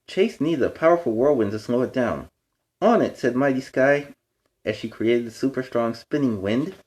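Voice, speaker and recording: A man's voice: mid-range voice, normal speed, a little mellow. A man hosting a children's story time, reading aloud with playful, explanatory asides in a superhero persona. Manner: explains words and lessons to young listeners.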